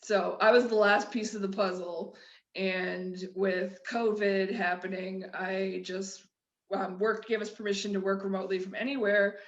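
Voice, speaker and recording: A middle-aged woman with a flat Midwestern accent shares her story as a podcast guest. She talks candidly and loosely, restarting her sentences often. Her voice has a roomy echo around it. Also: mid-range voice, measured pace, muffled recording. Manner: candid, rambling, with frequent restarts and laughs. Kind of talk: personal storytelling in a conversation.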